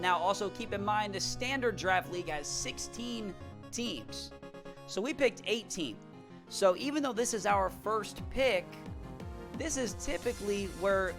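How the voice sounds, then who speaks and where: Young male streamer, high-pitched, hyped and rambling, voice worn thin, small home room. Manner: hyped, shout-out heavy, repeats words when excited. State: losing his voice. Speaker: YouTuber and draft league coach. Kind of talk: energetic casual vlog talk.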